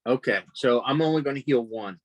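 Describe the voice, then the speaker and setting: A man's voice: mid-range voice, fairly quick, slight background noise, low-quality recording. A man chatting casually as a player in a tabletop role-playing game.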